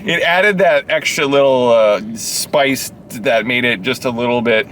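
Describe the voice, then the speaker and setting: A man co-hosting a casual, excited movie review chat, swearing freely and often trailing off mid-thought, recorded inside a car. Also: mid-range voice, unhurried, slight background noise, rough recording. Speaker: co-host of a movie review vlog. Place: small interior of a car.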